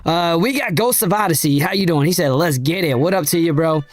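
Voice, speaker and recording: A man hosting a casual crypto trading livestream, slangy and hyped, his voice raspy and hoarse from a cold. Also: on the high side, brisk. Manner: slangy, hyped, addresses chat directly. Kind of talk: casual livestream market talk.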